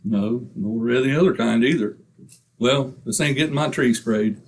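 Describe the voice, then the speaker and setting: An actor playing a boastful, wisecracking husband in a 1940s-style radio comedy. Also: male voice, medium pitch, normal speed, a little hiss or hum, close to an everyday voice, low-quality recording. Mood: brash. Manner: brash, wisecracking. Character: boastful, blustering middle-aged husband.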